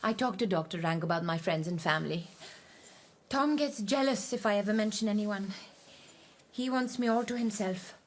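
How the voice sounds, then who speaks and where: A woman playing a young Indian wife in a radio drama, girlish, coaxing and anxious, with an expressive delivery. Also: medium pitch, normal speed, a little hiss or hum, natural voice. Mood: earnest, open. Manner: pleading, girlish, emotionally expressive.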